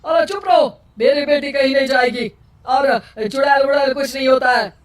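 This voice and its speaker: A woman voicing a blustering, ominous village elder in a cartoon story, with drawn-out theatrical exclamations, in a roomy recording. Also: sits high, recorded from a distance, close to an everyday voice. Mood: troubled, indignant. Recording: roomy echo, slightly off-mic.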